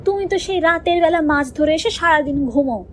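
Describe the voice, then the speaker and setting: A woman's voice: very high voice, fast talker, slight background noise. A woman voicing a fearful, superstitious village wife in a cartoon, anxious and insistent in her warnings. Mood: grave, warning.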